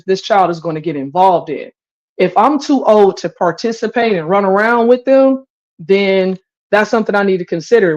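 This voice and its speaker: A woman's voice: medium pitch, darkish tone, muffled recording. A woman in her forties giving opinions in a candid, casual talk show conversation. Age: 40s. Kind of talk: candid casual open-forum chat.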